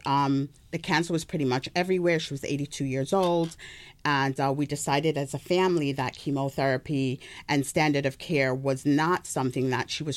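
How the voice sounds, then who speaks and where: A middle-aged woman from Birmingham, England, with a mixed British and American accent, explaining things carefully as an interview guest and health practitioner. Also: sits low.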